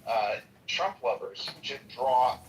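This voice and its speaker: A man debating politely in a political call-in discussion, hedging his points and measured. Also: mid-range voice, animated, reverberant, distant mic, over a noisy crowd, low-quality recording.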